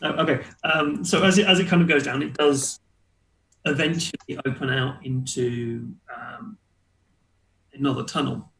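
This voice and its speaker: A man's voice: mid-range voice, steady tone, normal speed, fairly bright tone. A man acting as game master, casually improvising narration and descriptions for a tabletop roleplaying game. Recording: roomy echo, faint background noise.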